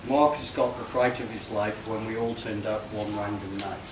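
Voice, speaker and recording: A man reading a comic rhyming poem aloud in a measured way, stumbling now and then. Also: fairly low pitch, steady tone. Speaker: party guest reading a tribute poem. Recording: echoey, noisy room, distant microphone.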